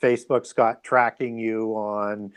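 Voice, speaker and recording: A man's voice: lowish voice, little pitch movement, slow, smooth. Man musing through an explanation. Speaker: interview guest, author. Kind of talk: conversational interview commentary.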